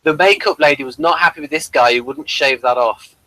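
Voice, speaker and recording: A man with a mid-pitched voice hosts a casual review. He explains details in a halting, conversational way, feeling his way through each point. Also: sharp tone, no echo, low-quality recording. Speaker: host of a TV review show.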